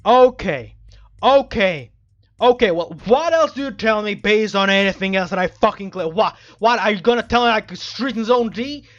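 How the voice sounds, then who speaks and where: A woman's voice: mid-range voice, expressive, normal speed. A woman giving casual let's play commentary in an exasperated, sarcastic, sweary manner, on slightly muffled audio. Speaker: gaming video host.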